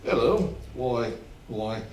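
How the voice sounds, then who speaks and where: A man plays a fretful, well-bred master of a country house with upper-class phrasing. His radio-play delivery is exclamatory and swings widely in pitch, picked up from a little way off in a roomy space. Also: lively intonation, slow, darkish tone. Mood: excited, baffled. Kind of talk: theatrical radio drama acting. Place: theatre stage, live performance. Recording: roomy, distant stage miking, faint hiss.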